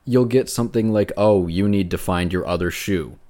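Deep-voiced man, earnest and emphatic. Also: moderate pace, warm tone. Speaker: podcast co-host. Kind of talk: casual video game discussion.

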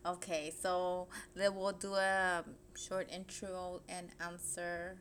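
A woman's voice: on the low side, flat delivery, slow, fairly bright tone, slight background noise. A woman co-hosting a casual podcast, asking her cousin questions and giving short agreeing replies. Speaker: podcast co-host. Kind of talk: casual chat.

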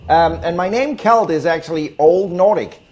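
A man's voice: on the high side, normal speed, darkish tone. A man from Denmark with a Danish accent, speaking conversationally as an expert guest and explaining his views with emphatic repetition. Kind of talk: conversational interview answers.

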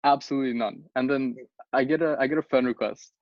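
A man's voice: mid-range voice, steady tone, fast talker, no echo. A young man from Bangladesh tells a story in loose, casual podcast talk. He stumbles and restarts often, says "like" and "you know" a lot, and swears offhandedly. The recording is dry and slightly dull. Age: young adult. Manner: rambling, many restarts and fillers, swears casually. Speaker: podcast co-host. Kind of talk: casual chat.

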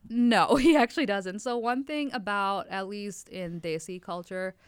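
Smooth-voiced young woman, chatty guest. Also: mid-range voice, a little slow, dry recording, clean studio sound. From Wisconsin, United States. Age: 20s. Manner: chatty and open, talks in long flowing stretches. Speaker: podcast guest, lab tech. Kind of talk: casual podcast conversation.

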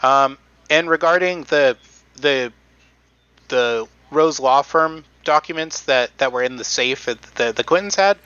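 A man's voice: medium pitch, measured pace, bright, forceful tone, clean tone, dry recording, dull audio. A man hosting a casual podcast, reading out notes with many ums and restarts. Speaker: podcast host presenting research.

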